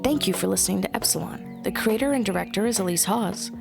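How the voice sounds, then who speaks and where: Casting: female credits announcer, mid pitch with vocal fry, scripted read over prominent music. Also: quick pace. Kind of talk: scripted narration.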